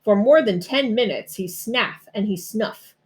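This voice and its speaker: A woman narrating a rhyming children's storybook aloud in an expressive, dramatic way. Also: mid-range voice, a little slow. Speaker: storybook reader.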